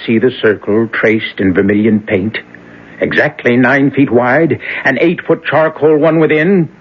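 A husky devil-worshipping youth. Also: male voice, medium pitch, measured pace. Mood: brooding. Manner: bitter, then chanting invocations. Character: young man possessed, cold devil worshipper. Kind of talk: 1970s radio drama acting. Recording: muffled old radio broadcast.

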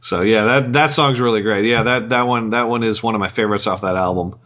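Man chatting with halting repeats. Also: on the low side, a bit bright. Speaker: podcast co-host. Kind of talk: casual chat.

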